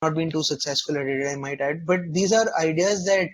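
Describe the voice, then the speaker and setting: A man's voice: a little high, brisk. A man working as an interview coach, giving articulate, constructive feedback in a conversational, explanatory way.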